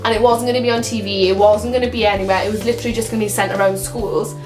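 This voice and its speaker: A woman's voice: medium pitch, steady tone, racing, roomy sound, over music. A young woman with a Welsh accent telling a story-time vlog in a chatty way, full of "like" and repeated words. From Wales. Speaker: vlogger.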